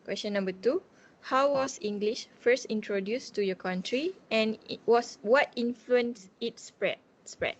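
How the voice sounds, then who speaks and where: A young woman with a slightly high voice reads interview questions over a video call. She speaks softly and stumbles a little over the wording. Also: a little slow, low-quality recording. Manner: soft, reads questions with small slips. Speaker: student interviewer.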